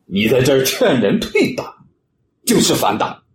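A man's voice: medium pitch, very animated, normal speed, slight background noise, natural voice. An actor playing a hostile, smug bald man who informs on others in a radio drama, sneering and taunting. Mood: gloating. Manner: belligerent, taunting.

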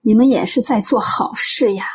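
Actress as kindly earnest auntie, slightly high voice. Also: female voice, normal speed, a little mellow, a little hiss or hum, natural voice. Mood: earnest, gentle. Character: kindly middle-aged auntie, earnest, encouraging, grateful believer. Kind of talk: radio drama acting.

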